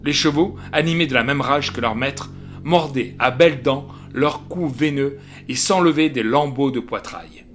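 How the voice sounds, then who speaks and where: A man's voice: mid-range voice, moderate pace, fairly bright tone, soft background music. A man reading literary fiction as an audiobook narrator, in a dramatic storytelling manner.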